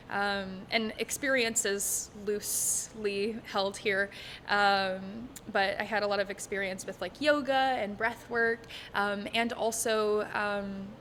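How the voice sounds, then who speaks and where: A woman's voice: medium pitch, fairly bright tone, slight background noise. A woman in her twenties from Texas, a music teacher being interviewed, talking casually with frequent ums and light laughs. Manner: chatty, laughs often, many ums. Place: bright living room set. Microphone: stand mic in front.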